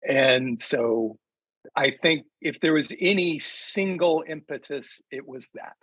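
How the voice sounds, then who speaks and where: A man speaks in a casual, reflective way as a guest author on a panel. He is halting, stopping mid-sentence to find his words. The voice is husky and fairly dry, with little room sound. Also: fairly high pitch, fairly bright tone, no room sound. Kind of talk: casual unscripted panel talk.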